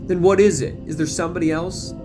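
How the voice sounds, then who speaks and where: A man's voice: high-pitched, fairly even intonation, fast talker, music in the background, voice pushed up, natural voice. Man playing a pushy, wounded teenage boyfriend. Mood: frustrated. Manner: insistent, exasperated.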